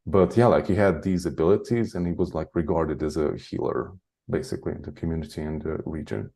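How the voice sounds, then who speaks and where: Deep-voiced man, rambling. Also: brisk, vocal fry, dark tone. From Hungary. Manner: rambling, heavy use of "like", frequent chuckles. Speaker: guest, spiritual channeler. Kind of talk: casual interview chat.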